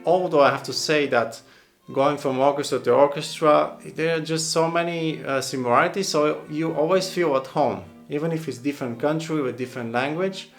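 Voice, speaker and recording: A man's voice: on the high side, moderate pace, soft background music. A man from Bulgaria, an oboist, answering viewer questions casually, thoughtful and rambling, with many restarts and fillers. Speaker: oboist and channel host.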